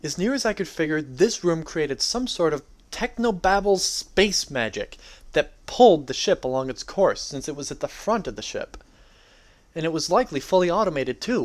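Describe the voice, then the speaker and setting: A man's voice: a little high, lively intonation, normal speed. A young adult man giving a dramatic reading of his own first-person story.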